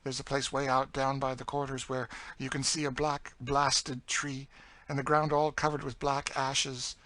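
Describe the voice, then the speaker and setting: Man voicing a bitter, world-weary woman, raised pitch. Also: little pitch movement, measured pace, sharp tone, a little hiss or hum. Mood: haunted, ominous. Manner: dry, bitter, emphatic. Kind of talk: dramatised audiobook reading of a classic novel.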